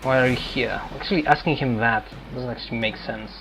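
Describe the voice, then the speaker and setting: A man giving casual game commentary, thinking aloud in a halting, hesitant way. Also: medium pitch, gravelly, background noise. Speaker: gameplay streamer. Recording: game music behind. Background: video game music.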